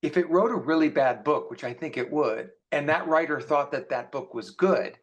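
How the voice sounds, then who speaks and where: A man's voice: fairly high pitch, moderate pace. A man speaking as a guest author in a casual panel conversation, earnest and opinionated as he explains his views.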